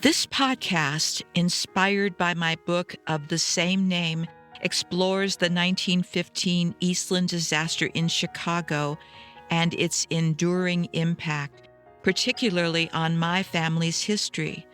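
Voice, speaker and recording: A woman's voice: on the low side, fairly even intonation, measured pace, a bit bright, faint music behind. An American woman hosting a podcast in a conversational narrating style. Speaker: podcast host.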